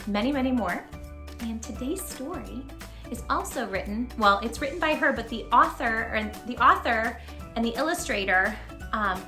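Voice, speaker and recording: A woman hosting a children's read-aloud, speaking in an animated, emphatic way straight to small children. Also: mid-range voice. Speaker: children's storytime host and tutor. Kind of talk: children's read-aloud and chat to young viewers.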